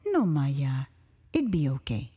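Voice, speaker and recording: A woman's voice: very deep voice, very animated, slow, warm tone, breathy edge, voice pushed down, exaggerated voice. A woman voicing an authoritative woman official, brief, familiar and reassuring, in an audio drama. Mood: brisk, reassuring. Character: authoritative Liberian woman official, briskly helpful, softly reassuring.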